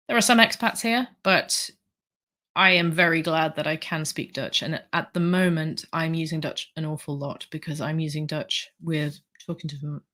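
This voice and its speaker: A low-pitched woman from the UK with a slightly sore throat, hosting a relaxed livestream. She rambles warmly through viewer comments, full of ums and asides. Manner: chatty, rambling with fillers. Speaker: livestream host. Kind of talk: casual livestream chat reading comments.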